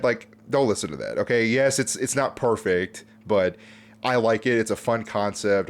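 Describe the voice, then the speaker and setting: A man hosting an online video, giving casual, off-the-cuff commentary in a chatty, informal, rambling way. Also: fairly low pitch. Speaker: YouTube host reviewing fan videos.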